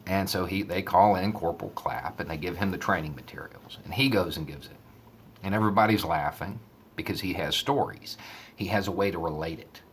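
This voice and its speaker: Very deep-voiced man, casual commentary. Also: brisk, a little hiss or hum. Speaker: online commentator. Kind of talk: casual spoken commentary and storytelling.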